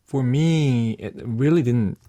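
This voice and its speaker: A young man answering interview questions in a soft, hesitant way, with many fillers and restarts. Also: mid-range voice, takes it slowly, dry recording. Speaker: singer-songwriter and producer guest.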